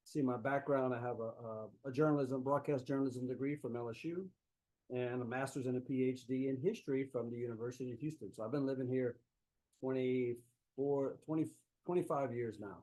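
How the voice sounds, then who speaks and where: A man's voice: mid-range voice, darkish tone, poor audio. A man with a South Louisiana accent giving a folksy, easygoing talk as an author and historian over a laptop mic on a video call. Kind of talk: conversational remote lecture. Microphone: laptop microphone on a video call.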